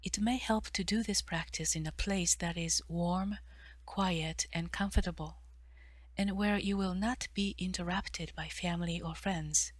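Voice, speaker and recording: A woman guiding a meditation in a soft, breathy delivery with measured pauses between phrases. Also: fairly low pitch, no echo. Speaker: meditation guide, therapist. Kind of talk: guided meditation narration.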